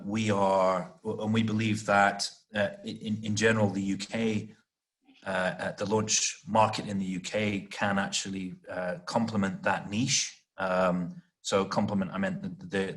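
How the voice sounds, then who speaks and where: A man answering as an executive guest in an interview, explaining off the cuff with halting, repeated words as he searches for phrasing. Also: deep voice. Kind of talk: panel interview, extemporaneous explanation.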